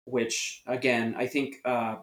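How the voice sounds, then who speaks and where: A man from the US, a podcast guest talking about music in a measured, thoughtful way with some fillers. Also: mid-range voice, flat delivery, fairly bright tone. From United States. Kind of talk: casual music discussion. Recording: echoey room.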